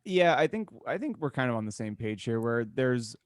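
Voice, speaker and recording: Rambling male co-host, medium pitch, dry sound. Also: very animated, normal speed, clear, resonant tone, no echo. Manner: rambling, long-winded explanations. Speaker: podcast co-host. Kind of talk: casual chat.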